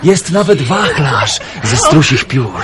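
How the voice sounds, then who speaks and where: A man's voice: a little high, sing-song, slow, a crowd in the background. An actor playing a boisterous, cheerful young man in a radio drama, exuberant and slangy. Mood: excited.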